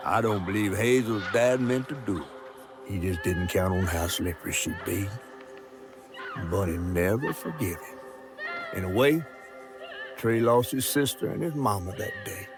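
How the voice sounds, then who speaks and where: An actor playing an old, folksy, wry Southern storyteller in a video game, drawling like someone telling a folk tale. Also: male voice, lowish voice, moderate pace, long pauses, natural voice. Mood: storytelling. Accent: American South. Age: older. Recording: music under the voice. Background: game music.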